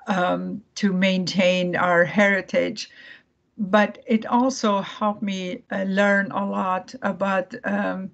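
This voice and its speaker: A woman from Iran giving a presentation over a video call, speaking haltingly with many fillers and restarts. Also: on the low side, creaky, fairly bright tone. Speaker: guest speaker.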